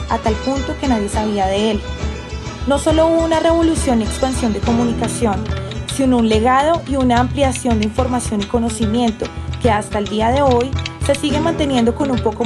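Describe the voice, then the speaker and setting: A young woman acts out a formal, reflective correspondent from a past era in a radio play. The voice is on the high side, over clearly audible music. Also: lightly acted. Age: young adult. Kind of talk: scripted student radio drama reading.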